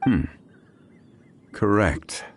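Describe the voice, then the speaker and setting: An actor playing a composed, dignified, scholarly gentleman investigator in a video game, speaking in a formal, measured, analytical way. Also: slight background noise. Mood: pensive, analytical. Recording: music behind the voice.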